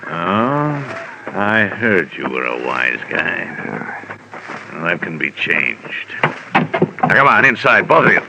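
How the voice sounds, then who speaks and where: An actor playing a brutal armed gang thug in 1940s radio style, tough, slangy and menacing. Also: male voice, low voice, big pitch swings, slow pace, vocal fry, pauses often, exaggerated voice. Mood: sneering. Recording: noisy vintage radio drama recording.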